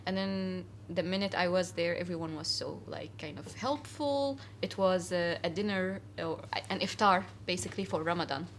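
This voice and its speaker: A woman's voice: on the low side, moderate pace, few pauses, a little hiss or hum. A young woman from Syria, a guest in a casual interview, talking earnestly with many fillers and restarts in a cafe. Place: cafe, indoors.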